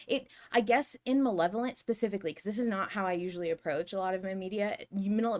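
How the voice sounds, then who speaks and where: Emphatic woman chatting as podcast co-host. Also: mid-range voice. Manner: emphatic, opinionated, frequent swearing. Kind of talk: casual fan discussion.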